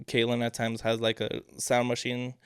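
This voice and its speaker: Quiet, low-key man, smooth and dry. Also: normal speed, no room sound. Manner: quiet, low-key, even delivery with many fillers. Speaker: podcast guest. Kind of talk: casual chat.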